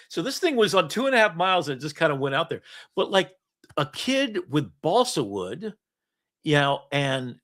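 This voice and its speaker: A middle-aged man telling long, rambling stories in an easy chat, laughing between lines. His pitch sits a touch high and swings widely as he talks. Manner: storytelling, animated, laughs often. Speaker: podcast host.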